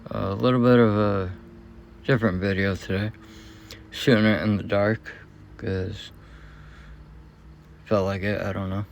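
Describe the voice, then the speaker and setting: A man talking in a casual, unscripted vlog, troubleshooting in a hesitant and unsure, halting way. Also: fairly low pitch, level tone, vocal fry, slight background noise. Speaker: vlogger.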